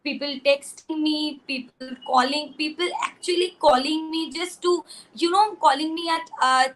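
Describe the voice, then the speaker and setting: A woman's voice: sits high, a bit bright, poor audio. A young woman, speaking hesitantly with many "uh" fillers as a guest on a video-call panel. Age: young adult. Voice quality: husky. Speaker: content creator guest.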